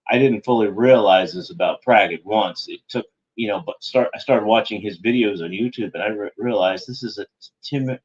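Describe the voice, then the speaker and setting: A man's voice: on the low side, moderate pace, muffled recording. A man hosting an internet show, delivering a meandering, sardonic monologue that keeps correcting itself. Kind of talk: rambling monologue commentary.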